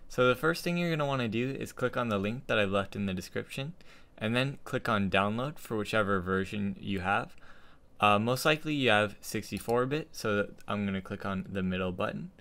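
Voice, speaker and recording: A young man hosting a casual software tutorial, speaking into a desktop condenser microphone. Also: lowish voice, lively intonation, brisk. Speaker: tutorial host. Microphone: desktop studio condenser microphone.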